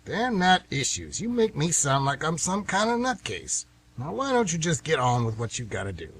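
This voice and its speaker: Guy acting a grumbly old-fashioned retired man in a radio play, kinda husky, folksy, voice goes up and down a lot. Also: fairly high pitch, sing-song, moderate pace, a bit bright, full character voice. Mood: irritated. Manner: rambling, folksy, plain-spoken.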